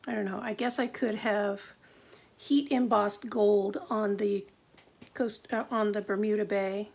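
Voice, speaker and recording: A middle-aged woman with a gravelly voice, chatting and thinking aloud through a live card-making demo at her craft desk. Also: mid-range voice, fairly even intonation, a little slow, darkish tone.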